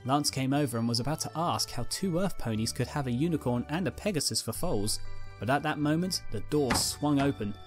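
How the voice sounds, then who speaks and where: Very low-voiced woman reading a story. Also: expressive, brisk, faint music behind. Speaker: narrator, fan fiction reader. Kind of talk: scripted story narration.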